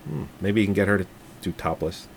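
A man's voice: low-pitched, expressive, fairly quick, breathy edge. A man co-hosting a casual movie podcast, joking crudely and laughing often as he chats about films. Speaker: podcast co-host.

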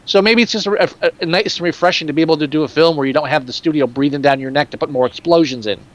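A man's voice: a little high, racing. A man co-hosting a casual movie podcast, opinionated and profane, talking through films with energy. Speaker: podcast co-host.

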